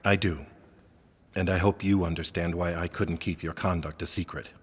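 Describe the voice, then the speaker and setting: A man playing a composed, principled institute head in an audio drama, husky and measured, in a reverberant space. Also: sits low, steady tone. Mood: restrained sympathy. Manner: measured, formal, restrained. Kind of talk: scripted audio drama acting. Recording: long reverberant hall.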